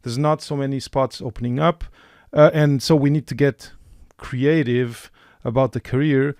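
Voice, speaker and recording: A male podcast host with a gravelly edge to his voice, recorded close and dry. He talks in a halting but encouraging way, with frequent restarts as he works out his questions. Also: medium pitch, steady tone, a little mellow, dry recording. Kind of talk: podcast interview.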